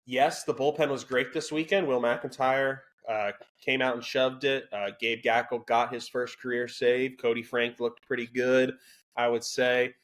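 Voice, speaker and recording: A man co-hosting a casual college sports podcast, dry and sarcastic, with a self-described monotone delivery. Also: medium pitch, measured pace. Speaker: podcast co-host.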